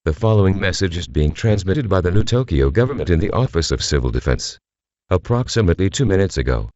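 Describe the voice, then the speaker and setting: Very deep, grave male actor as emergency announcer. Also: a little mellow, dry recording, dull audio. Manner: formal, measured, solemn. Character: grave male government civil defense announcer. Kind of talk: scripted emergency broadcast bulletin.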